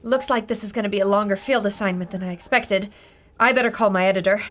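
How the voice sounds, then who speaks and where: A woman's voice: mid-range voice, steady tone, fast, a little hiss or hum, lightly acted. A woman playing an inquisitive, practical newspaper reporter. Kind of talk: radio play acting.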